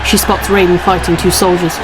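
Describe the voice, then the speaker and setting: A woman's voice: lowish voice, flat delivery, a little fast, poor audio. A woman reading scripted narration of a fantasy action story as its narrator. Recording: loud music under the voice.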